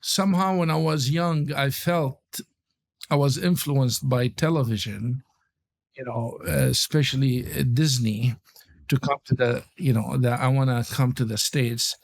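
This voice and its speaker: Podcast guest in his sixties, Lebanese accent, gravelly voice, halting delivery with frequent pauses. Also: mid-range voice, fairly even intonation. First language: Arabic. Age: 60s. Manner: halting, many pauses and fillers. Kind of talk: interview storytelling.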